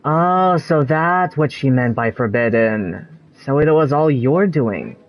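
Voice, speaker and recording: A person reads game character dialogue with gentle concern. The delivery is unhurried, hesitant and questioning, with animated swings in pitch. The recording sounds slightly dull and lo-fi.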